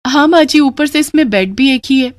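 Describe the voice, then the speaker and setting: A woman's voice: high voice, moderate pace, dry recording, clean studio sound, voice pushed up, natural voice. A woman voicing an indignant young bride in a family cartoon, in a complaining, pleading manner.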